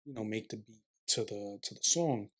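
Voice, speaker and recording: A man's voice: on the low side, normal speed, fairly bright tone. A young man, a guest on a podcast, talking in a laid-back way with slang like "bro" and "yo". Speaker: podcast guest, musician and vocal engineer. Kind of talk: casual conversational interview. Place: warm studio under lights.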